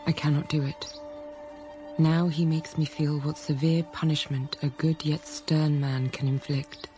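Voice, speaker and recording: Introspective first-person radio drama narrator, young, troubled. Warm dark tone, even delivery, soft music behind. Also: female voice, deep voice, a little slow. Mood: pained, restrained.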